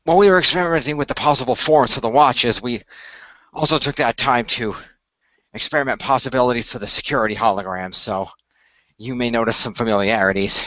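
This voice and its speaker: Male game master, forceful and explanatory, improvising scenes. Close, dry sound. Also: mid-range voice, brisk, no echo, dull audio. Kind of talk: improvised storytelling and in-character talk for an audio role-play.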